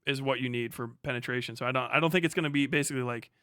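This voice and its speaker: A man livestreaming casually, muttering figures as he reads and thinking aloud in halting bursts with plenty of swearing. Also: medium pitch, bright, forceful tone, clean tone, no echo, studio quality. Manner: swears freely. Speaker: live streamer.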